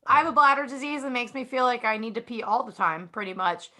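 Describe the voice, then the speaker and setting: A woman's voice: on the high side, expressive, fast talker, sharp tone. A woman chatting casually and candidly as a guest in a group podcast, speaking informally.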